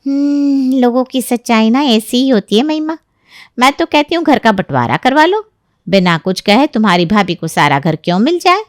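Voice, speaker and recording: A woman acts out a meddling neighbour for a dramatized story. She is sly and gossipy, talking persuasively in a fairly high voice, recorded dry and close. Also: very animated, moderate pace, dry recording. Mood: sly, insinuating. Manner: insinuating, persuasive. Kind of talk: Hindi audio story character acting.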